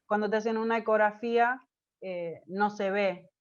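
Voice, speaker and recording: A woman from Argentina with an Argentine Spanish accent, telling a personal story as an interview guest, pausing often with fillers and restarts. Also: mid-range voice, fairly even intonation, muffled recording. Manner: hesitant, many fillers and restarts. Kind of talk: personal testimony in conversation.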